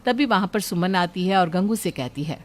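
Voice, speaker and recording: A woman's voice: on the low side, expressive, normal speed. A woman reading scripted story narration as a storyteller.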